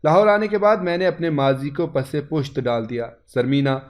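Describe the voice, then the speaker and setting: A man's voice: a little high, normal speed, a little mellow. A man reading a scripted first-person story as its narrator, in a dramatic style.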